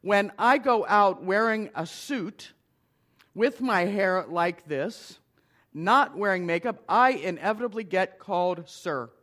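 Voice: medium pitch, a bit bright. A middle-aged minister giving a conversational lecture to an audience, with wry asides.

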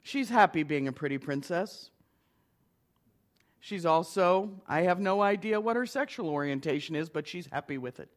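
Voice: mid-range voice, lively intonation, normal speed. A middle-aged minister giving a conversational lecture to an audience, with wry asides.

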